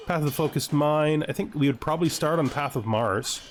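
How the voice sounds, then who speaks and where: A man's voice: on the high side, brisk. A man giving casual let's-play commentary, thinking aloud in fragments and asking himself questions as he goes. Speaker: gaming video host. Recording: music behind the voice. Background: background music.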